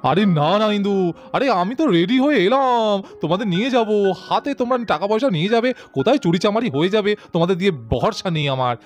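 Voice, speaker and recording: A man's voice: sits high, animated, quick pace. A man voicing a wry, penny-pinching husband in a doll cartoon, theatrical and coaxing, over music. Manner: theatrical, muttered asides and coaxing. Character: wry, penny-pinching husband who sweet-talks his wife. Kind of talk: doll cartoon story voice acting. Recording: faint music behind the voice.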